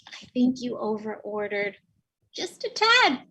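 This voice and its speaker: Actress as spiteful thirties woman worker, sitcom table read. High, bright, unhurried, dry sarcasm. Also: sing-song, exaggerated voice. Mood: deadpan. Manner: dry, slow, understated sarcasm. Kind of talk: live table read of comedy script.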